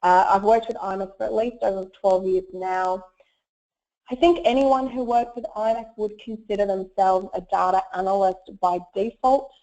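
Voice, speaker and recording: A woman with a croaky voice from a cold, giving an online presentation with frequent fillers. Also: mid-range voice, moderate pace, mellow. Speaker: webinar presenter, solutions consultant. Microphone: web conference audio. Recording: muffled lo-fi web call audio.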